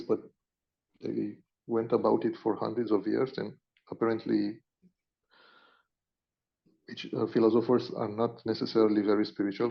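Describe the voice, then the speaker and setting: A man with a Romanian accent talking casually as a podcast co-host, in a halting way, searching for his words. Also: lowish voice, gravelly. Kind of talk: casual unscripted conversation. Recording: dull lo-fi audio.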